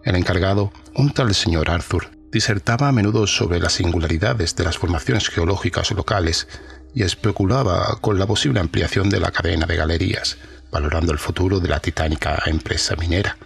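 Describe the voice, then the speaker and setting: Male actor as aging, secretive ex-officer in an audio horror tale: deep, creaky, formal, measured, music beneath. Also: exaggerated voice. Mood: measured, reflective. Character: weary, secretive old former army officer recounting horror. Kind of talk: first-person audio horror storytelling. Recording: music under the voice.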